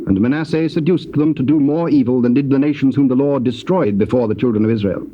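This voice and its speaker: A man's voice: medium pitch, fast. Male scripture narrator on muffled, noisy tape.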